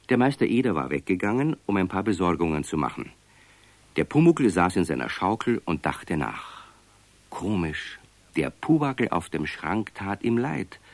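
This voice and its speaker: A man's voice: on the low side, vocal fry, no room sound. A man reading scripted narration for a children's radio story.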